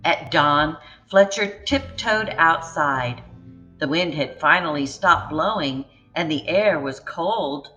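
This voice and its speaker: A woman reading a children's picture book aloud in a gentle, expressive way. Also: deep voice, slow pace, faint music behind, rough recording. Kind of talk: storybook read-aloud.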